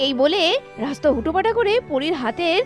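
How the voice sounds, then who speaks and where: High-voiced woman narrating over music. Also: expressive, a little fast. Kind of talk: dramatic story narration for a doll cartoon.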